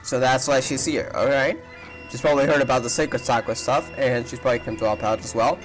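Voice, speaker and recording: A man's voice: mid-range voice, steady tone, fast, poor audio. A man doing casual playthrough commentary, thinking aloud and speculating as he reacts. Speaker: gaming video creator. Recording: voice over background game music.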